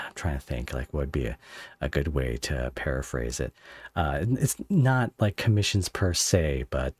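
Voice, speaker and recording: A man hosting a casual live interview, speaking in a halting, rambling way as he searches for phrasing. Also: a bass voice, a little mellow, no echo. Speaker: podcast host interviewing a guest.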